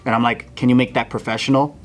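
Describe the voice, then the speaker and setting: A man telling casual anecdotes in a slangy, profane, animated way. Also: mid-range voice, quick pace. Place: group talk show studio.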